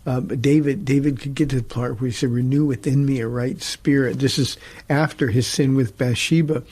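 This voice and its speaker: A middle-aged man, a pastor hosting a talk show, teaching from the Bible in an earnest, halting way with frequent restarts. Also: medium pitch, fairly even intonation, darkish tone, husky.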